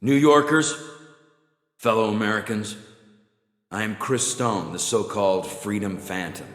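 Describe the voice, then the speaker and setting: An actor playing an earnest, defiant American rebel leader, delivering a deliberate, rousing speech in a video game cutscene. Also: male voice, fairly low pitch, a bit bright. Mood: grave resolve. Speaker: resistance leader. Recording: very echoey, long reverb, music behind.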